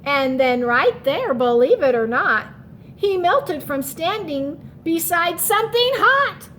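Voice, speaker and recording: A woman teacher giving a children's read-aloud and lesson, expressive and instructional, often asking questions. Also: very high-pitched, slow, a little hiss or hum. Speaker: teacher and storyteller.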